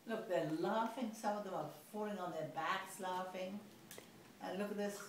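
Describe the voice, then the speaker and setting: A woman reading a picture book to a child, expressive and playful, with chatty asides. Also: medium pitch, normal speed. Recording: echoey room, distant mic, faint noise.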